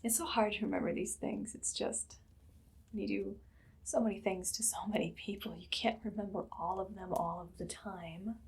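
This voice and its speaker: A woman playing an imperious, idly cruel evil queen in an ASMR roleplay, soft-spoken and often whispering in a languid, condescending way. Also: medium pitch, fairly even intonation, normal speed, vocal fry, lightly acted. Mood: musing, gloating. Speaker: ASMR performer.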